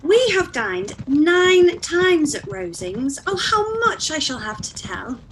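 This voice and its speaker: A woman playing an excitable, naive young woman in a live-read radio play. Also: high-pitched, takes it slowly, slight background noise, heavily acted, poor audio. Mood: excited.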